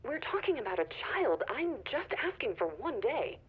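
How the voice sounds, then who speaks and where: A woman playing an anxious, conscientious site manager in a video game, husky and urgent over a phone. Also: high voice, very animated, moderate pace, exaggerated voice. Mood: worried, pleading. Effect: telephone filter. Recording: distant, muffled phone recording.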